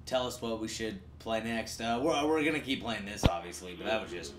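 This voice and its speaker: A man's voice: lowish voice, brisk, fairly bright tone, a little hiss or hum. Roomy-sounding man doing gameplay commentary. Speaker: gaming video host.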